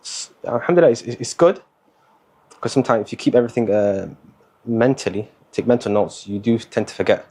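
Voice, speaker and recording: A young man, talking as a guest in a casual interview, relaxed and hesitant, with many fillers and Arabic words mixed in. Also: medium pitch, a little fast, husky. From Somali, born in the Netherlands, raised in England. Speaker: guest, university student.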